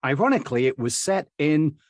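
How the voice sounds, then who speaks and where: A man's voice: on the high side, sing-song, a little fast. A middle-aged man with an English accent, a dialect coach telling his story as a guest in a casual interview, rambling with lots of fillers and small laughs. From England.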